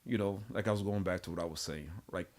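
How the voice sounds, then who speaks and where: A man's voice: sits low, quick pace. A man whose first language is French, hosting a casual radio talk show and asking playful, probing questions. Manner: questioning, playful, repeats "right?". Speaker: radio host.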